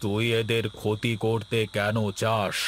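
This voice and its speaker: A man's voice: fairly low pitch, completely flat delivery, unhurried, a little hiss or hum, lightly acted. A man voicing a stern but benevolent old holy sage in a cartoon story, speaking solemnly and with authority. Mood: stern, wrathful. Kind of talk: Bengali cartoon story voice acting.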